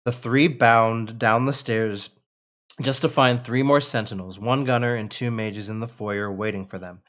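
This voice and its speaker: A man's voice: medium pitch, steady tone, measured pace, fairly bright tone. An American man leading a script table read as writer and narrator, reading and chatting casually. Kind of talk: casual reading of screenplay action and post-read chat.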